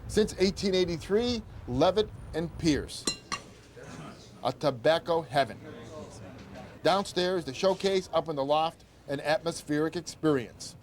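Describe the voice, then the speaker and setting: A man's voice: a little high, animated, unhurried, a little hiss or hum. An older man hosting a travel show, giving upbeat, conversational tour-guide narration.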